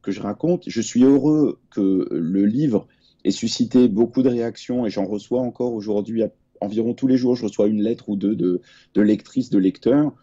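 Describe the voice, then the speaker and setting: Man in his forties, author on a panel, very mellow low voice, husky, dull video call audio. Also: normal speed. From Lebanon. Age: 40s. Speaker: author and journalist, guest.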